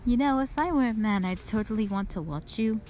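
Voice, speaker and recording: A woman's voice: a little high, animated, brisk, a little mellow, a little hiss or hum, natural voice. A woman voicing a playful, teasing young female soldier, a bubbly and informal best friend in an audio drama. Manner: playful, informal, whiny when tired. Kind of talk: dramatic audio roleplay acting.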